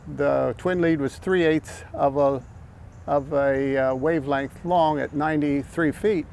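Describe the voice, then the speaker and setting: Gravelly older American man. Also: a little high, a little mellow. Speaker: amateur radio operator and vlog host. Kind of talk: casual explanatory vlog talk. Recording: outdoor background noise.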